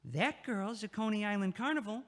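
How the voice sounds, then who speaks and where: A man's voice: high voice, expressive, moderate pace, in a large hall. A man playing a crude, leering neighbor. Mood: taunting. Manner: crude, taunting, blustering. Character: crude, leering middle-aged male neighbor. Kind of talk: audio play acting.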